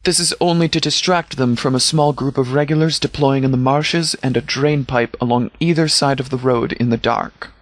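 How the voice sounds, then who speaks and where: A man playing a stern, composed sheriff in a video game, speaking in a measured, formal, authoritative way. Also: mid-range voice, fairly even intonation, no room sound, lightly acted. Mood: businesslike briefing. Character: stern, composed male sheriff leading a post-apocalyptic militia. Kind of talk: scripted video game dialogue.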